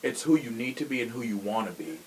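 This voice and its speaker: A man's voice: mid-range voice, expressive, fast talker, a little hiss or hum, poor audio. A man hosting a podcast in a casual chat, with a sassy, slangy and opinionated way of talking. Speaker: podcast host.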